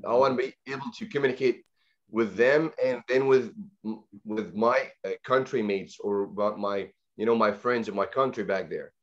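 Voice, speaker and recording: Egyptian guy teaching over a call, arabic accent, lots of uh and repeats, muffled lo-fi sound. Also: on the low side. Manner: halting, many fillers and repeated words. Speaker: language teacher. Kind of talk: online training talk.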